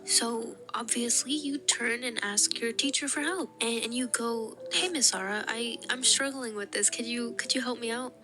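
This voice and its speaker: A young woman vlogger with a fairly high voice talks straight to her viewers. She tells stories in an animated, giggly way, full of "like" and little laughs. Music plays clearly underneath her voice. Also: moderate pace, hardly any pauses. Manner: animated and giggly, with many fillers. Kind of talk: casual storytelling to camera. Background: background music.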